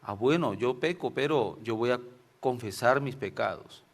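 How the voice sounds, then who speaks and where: A man's voice: medium pitch, expressive, moderate pace. A man from Venezuela with a Venezuelan Spanish accent, preaching an informal sermon and telling stories, often ending phrases with tag questions. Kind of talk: informal sermon with personal anecdotes.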